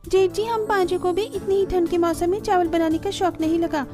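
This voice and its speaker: A woman's voice: very high-pitched, fairly quick, over music, pitched above the performer's own voice. A woman voicing a dutiful young village daughter-in-law in a family cartoon, polite, earnest and practical. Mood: defensive. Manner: deferential, earnest, pleading. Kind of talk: Hindi family cartoon voice acting.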